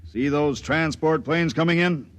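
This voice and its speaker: A man's voice: on the high side, unhurried, lightly acted. A man playing a brusque, commanding patrol officer in a 1950s radio drama, barking orders. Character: gruff male patrol officer, harsh and commanding.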